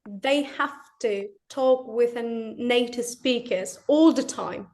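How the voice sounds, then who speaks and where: A young woman from Turkey speaks English, her voice pitched high. She talks in a hesitant, casual way, stumbling over and repeating words as she answers questions. She is a guest in an interview. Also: slow, a little mellow. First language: Turkish.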